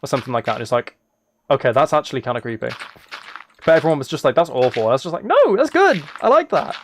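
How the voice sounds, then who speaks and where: A man's voice: on the high side, big pitch swings, fast. A man casually rambling to viewers on a livestream, musing aloud and often restarting his phrases. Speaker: live streamer. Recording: background noise with keyboard typing and clicks.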